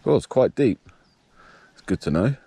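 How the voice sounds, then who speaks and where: A man with a blocked nose and a slightly nasal voice, casually talking through his fishing outdoors by a dam in a relaxed, explanatory way. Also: medium pitch, moderate pace, gravelly, slightly rough, dry recording. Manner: relaxed, explanatory, thinking aloud. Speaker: fishing vlogger. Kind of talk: casual outdoor vlog narration.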